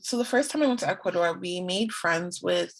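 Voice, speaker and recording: A woman's voice: on the low side, brisk. A young woman, chatting as a guest in a casual interview, laughing often and acting out her own quotes. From Canada.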